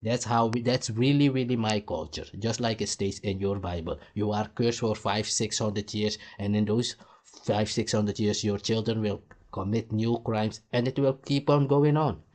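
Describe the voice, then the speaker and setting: A man's voice: fairly low pitch, moderate pace, a little mellow. Blunt, profane man ranting. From Suriname. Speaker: commentary host. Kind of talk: ranting reaction commentary.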